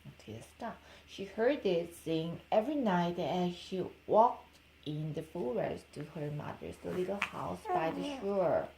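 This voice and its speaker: A woman's voice: mid-range voice, dark tone, a little hiss or hum, poor audio. Korean-accented young mother reading aloud. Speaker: mother reading to her baby. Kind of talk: storybook read-aloud with informal baby talk.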